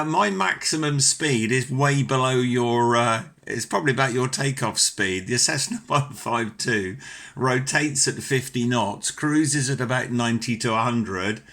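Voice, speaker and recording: A man's voice: mid-range voice, gravelly, pushed and bright. A British man chatting as an amateur radio operator, enthusiastic, courteous and talkative in a conversational radio exchange. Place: home ham radio station.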